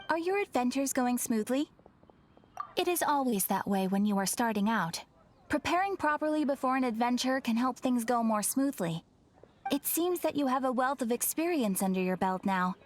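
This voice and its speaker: A courteous young guild receptionist from a video game, with a fairly high voice. She is formal, composed and helpful, and some background ambience is audible behind her. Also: animated, moderate pace, slight background noise. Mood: encouraging, formal. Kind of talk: scripted video game character dialogue.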